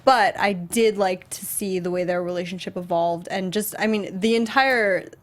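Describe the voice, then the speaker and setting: A woman with an American accent in a casual chat about gaming, telling her experiences in a halting, emphatic way with repeated phrases. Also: mid-range voice. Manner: animated storyteller, halting, emphatic repetition. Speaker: talk show host.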